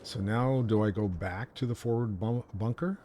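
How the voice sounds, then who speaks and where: Male game streamer, lowish voice, informal and rambling, thinking out loud over clear background game noise. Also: normal speed. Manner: rambling, musing aloud, informal. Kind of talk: casual livestream commentary while playing. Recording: livestream mic with game noise behind.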